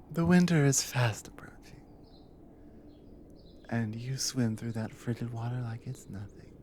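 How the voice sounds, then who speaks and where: Woman playing a low, slow, tender warrior wife. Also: slight background noise. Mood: tender, curious. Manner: soft, breathy, hushed murmur with unhurried pauses. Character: devoted dominant Nordic warrior wife, tender and teasing adventurer. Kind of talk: intimate ASMR roleplay audio.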